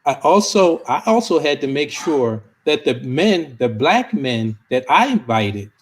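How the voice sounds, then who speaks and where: Male panelist, fairly high voice, earnest conversational delivery, deliberate and emphatic. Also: sing-song. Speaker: discussion panelist.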